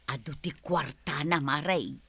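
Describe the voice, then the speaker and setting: Woman acting a bright, bossy village wife. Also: low voice, unhurried, husky, no echo, heavily acted. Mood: boastful. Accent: Ilocano. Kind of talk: Ilocano comedy radio drama acting.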